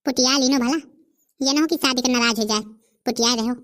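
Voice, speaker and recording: A woman's voice: high voice, steady tone, a little fast, a bit bright. A woman voicing a chatty, teasing village character in a comedy cartoon, with a Bundeli accent.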